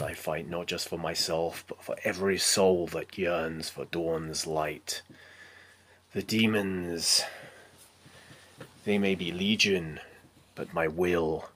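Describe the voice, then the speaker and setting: A man playing a resolute, battle-worn armored knight in a dramatic film monologue, declaiming slowly and solemnly with breathy phrase endings. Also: low voice, pushed and bright, exaggerated voice.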